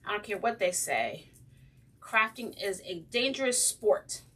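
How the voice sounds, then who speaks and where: A woman with a slightly raspy voice hosting a casual crafting livestream, chatting with viewers and saying um often. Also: medium pitch, animated, unhurried, a bit bright, distant mic. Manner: chatty, greets viewers by name, frequent ums. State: slightly raspy from breathing trouble. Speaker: craft channel host.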